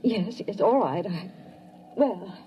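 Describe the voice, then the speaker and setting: A woman playing an embarrassed, bewildered young lab technician in an old-time radio science fiction drama, halting and breath-catching. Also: mid-range voice, expressive, muffled recording. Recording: noisy vintage radio drama recording.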